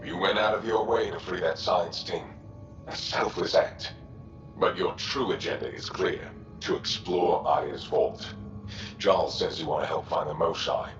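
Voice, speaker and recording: A man's voice: deep voice, steady tone, unhurried. An actor playing a stern, guarded alien resistance commander in a video game, curt and formal in his delivery. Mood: sceptical. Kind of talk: cinematic video game acting. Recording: roomy, dull-toned game audio with faint music.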